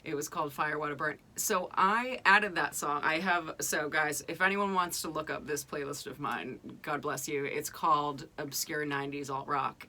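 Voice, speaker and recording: A woman's voice: deep voice, bright, forceful tone. A woman in her thirties from New England, hosting a podcast in casual chat, talking with lots of fillers and laughs. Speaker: podcast host.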